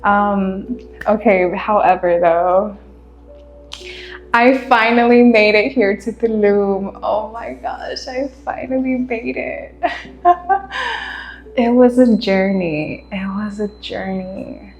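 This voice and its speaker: Woman podcaster, first language Spanish, unhurried rambling chat, laughs a lot, roomy echo. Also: medium pitch, lo-fi sound, soft background music. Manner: relaxed, rambling, laughs often. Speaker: podcast host. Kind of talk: casual monologue. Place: her room.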